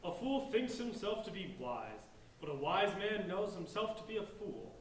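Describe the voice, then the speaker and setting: A man plays a pompous, bookish youth with a high voice for a male. He declaims grandly and theatrically in a staged reading. The recording is echoey and distant. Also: big pitch swings, moderate pace, slight background noise. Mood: grand, declamatory.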